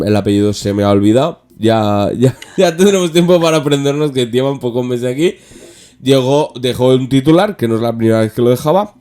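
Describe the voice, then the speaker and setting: A male podcast host with a Spanish accent from Spain talks politics casually. His delivery is wry and joking, and he often stops and restarts as he works toward a sarcastic point. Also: medium pitch.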